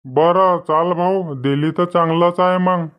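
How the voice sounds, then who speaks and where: A man's voice: high voice, fairly even intonation, takes it slowly. A man voicing a helpless, meek old villager in a comic cartoon, plaintive and resigned, with a rural Maharashtrian accent. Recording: dull, lo-fi sound.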